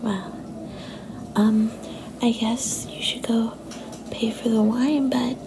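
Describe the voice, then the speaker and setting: A woman playing a sweet, insecure young girlfriend in a soft-spoken ASMR roleplay, hesitant and coy, often slipping into a breathy whisper. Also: on the high side, fairly even intonation, takes it slowly. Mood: caring, reluctant. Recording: noticeable background noise and ambience.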